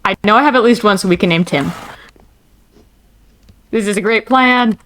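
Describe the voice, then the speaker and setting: A woman's voice: medium pitch, moderate pace, fairly bright tone. A woman chatting casually and eagerly as a guest player in a game. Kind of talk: casual chat during a game.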